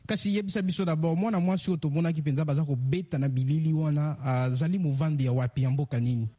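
A man asking questions as a radio news interviewer, somewhat halting and repeating words. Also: fairly high pitch, warm tone, slightly rough.

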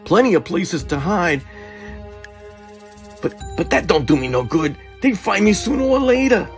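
A man's voice: high-pitched, sing-song, normal speed, soft background music. A man voicing a desperate young fugitive who talks tough in street slang.

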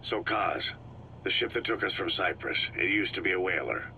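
A man playing a terse, stoic mercenary boss in a video game, gruff and laconic, mostly asking short questions. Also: deep voice, fairly quick, phone-quality sound, slight background noise. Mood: dry, curious. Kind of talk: scripted video game dialogue.

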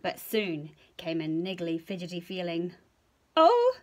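A middle-aged New woman reads a picture book aloud at home. Her voice is high and very animated, with slow, playful emphasis and hushed touches. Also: husky. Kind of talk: expressive children's story reading. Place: small furnished room at home.

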